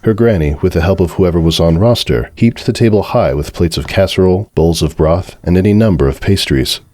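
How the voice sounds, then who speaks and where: A man narrates a story in an extremely low voice. The delivery is a dramatic scripted reading, recorded close with no room sound. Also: brisk, dark tone, no room sound.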